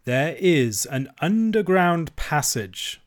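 Storybook badger, older male: authoritative, fatherly, admonishing, measured delivery acted by a male narrator. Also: medium pitch, animated, darkish tone, natural voice. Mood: impressive.

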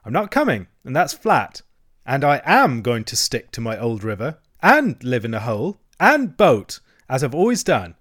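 A man voicing a sensible, stubborn water rat in a storybook, in a measured, dry, firm manner. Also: mid-range voice, sing-song, a bit bright. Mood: dogged. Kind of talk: storybook character acting.

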